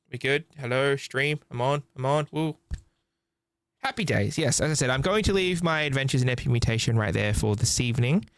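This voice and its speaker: A man's voice: medium pitch, no echo, studio quality. A man hosting a game livestream, chatting directly to viewers. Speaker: game streamer, host. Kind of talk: livestream sign-off chat and story reading.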